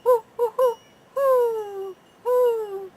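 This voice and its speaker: Big high owl hoots for a storybook, long and loud, some noise in the recording. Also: female voice, steady tone, exaggerated voice. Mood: loud calling. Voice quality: hooting, drawn-out calls. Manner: repeated loud, evenly sounded hoots. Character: great horned owl call, loud hooting in the night woods. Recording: noticeable background noise.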